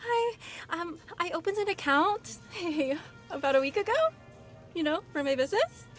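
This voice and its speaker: A woman's voice: extremely high pitch, animated, moderate pace, soft background music. An actress playing an earnest, easily indignant young woman entrepreneur in a naturalistic comedy-drama podcast. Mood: sweetly nervous.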